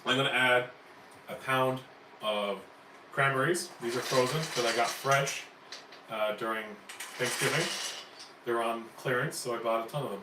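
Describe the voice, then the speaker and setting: Raspy-voiced male cooking host, chatty and upbeat, recorded by a distant mic in an echoey kitchen. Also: mid-range voice, fairly even intonation, normal speed, pauses often. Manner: upbeat, chatty, explains steps as he cooks. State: raspy, voice cracking after flu. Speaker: home cooking channel host. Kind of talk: live cooking stream chat. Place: home kitchen. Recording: echoey room, distant mic, faint noise. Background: kitchen clatter, hot plate.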